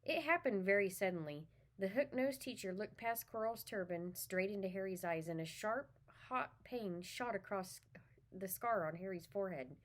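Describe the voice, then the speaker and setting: A woman's voice: medium pitch, expressive, moderate pace. A woman reading a children's story aloud, talking to her listeners in a friendly, informal way. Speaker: read-aloud reader for children. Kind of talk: children's book read-aloud.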